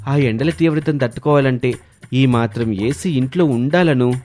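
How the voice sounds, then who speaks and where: A man plays a young husband in a moral story. His medium-pitched voice coaxes and gently chides, with some pride in family money. Soft music sits behind it. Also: normal speed, close to an everyday voice. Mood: chiding, insistent. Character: young husband, reassuring yet proud of wealth. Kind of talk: dramatized moral story dialogue.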